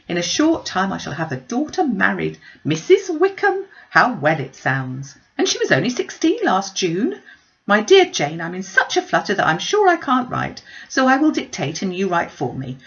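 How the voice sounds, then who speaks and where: A woman voicing an excitable, gushing, scatterbrained middle-aged mother in a classic novel read aloud, with fluttery, exclamatory delivery. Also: medium pitch, lo-fi sound.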